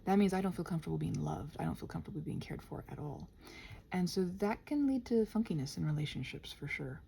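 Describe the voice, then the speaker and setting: A woman in a casual, improvised stream-of-consciousness ramble, halting and interrupting herself, often slipping toward a half-whisper, with a gentle encouraging manner. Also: on the low side, animated, gravelly, slight background noise. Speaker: host of an improvised ramble audio for body doubling.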